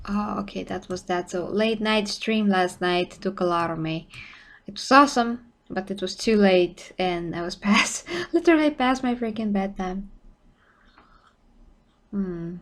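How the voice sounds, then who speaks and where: A woman's voice: medium pitch, measured pace. A woman streamer with an Eastern European accent, talking casually and rambling through a live reaction, often laughing and correcting herself.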